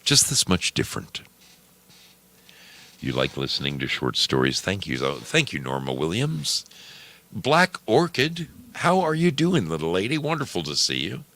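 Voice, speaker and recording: A man's voice: sits low, big pitch swings, normal speed, bright, forceful tone. A man hosting a radio show, chatting casually with listeners in a folksy, theatrical manner. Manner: folksy, theatrical, addresses listeners as friends and dear brothers. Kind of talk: casual live chat with listeners.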